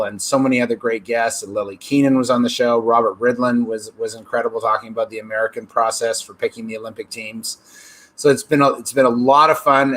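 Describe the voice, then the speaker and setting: A man's voice: mid-range voice, fairly bright tone, poor audio. A man hosting an interview show, talking effusively and piling on superlatives. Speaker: show host.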